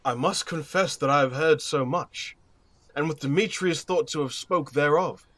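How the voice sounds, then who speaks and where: High-voiced, stately duke played by a man. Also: a bit bright, lightly acted. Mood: composed. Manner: formal, measured, authoritative. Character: stately, measured Duke of Athens passing judgment. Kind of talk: Shakespearean verse audio drama acting.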